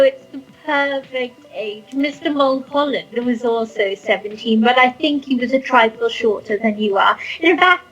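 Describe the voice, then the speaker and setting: A woman's voice: a little high, a bit bright, slightly rough, highs cut off, pitched above the performer's own voice. A woman voicing a sweet, fussy older landlady with a cooing, genteel manner and an eerily cozy air. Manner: cooing, genteel, drawn-out exclamations.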